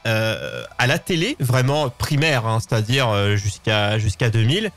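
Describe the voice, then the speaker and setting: A man's voice: lowish voice, very animated, unhurried, fairly bright tone. Guy in his 20s hosting a chatty live show, stammers and rambles, bit of music behind. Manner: rambling, stammering and repeating words. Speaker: host of a live open-line show. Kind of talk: casual live show chat.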